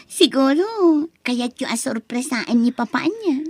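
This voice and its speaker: A woman's voice: high voice, animated, slow, a little mellow, breathy edge, no room sound, full character voice. A young woman voicing a spoiled college-student daughter who talks in a whiny, dismissive way. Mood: cheerful. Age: young adult. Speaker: voice actor. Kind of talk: audio drama acting.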